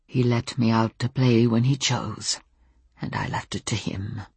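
An old male werewolf acted out by a woman reader speaks low and hushed, in slow, thick, halting phrases. The Welsh-accented voice is menacing yet almost shy, in the manner of an audiobook character. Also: fairly even intonation, husky, no echo, full character voice. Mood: controlled, thoughtful.

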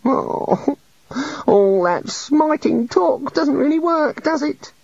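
A timid, mournful god who frets over his own beard and powers, swooping up high and down again in a thin, fussy voice, as a male narrator puts him on. The recording is dry and a little dull. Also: expressive, measured pace, breathy edge, no room sound, full character voice. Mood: gloomy. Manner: sad, fussy, querulous. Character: meek, gloomy male island god, bearded. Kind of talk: audiobook character reading.

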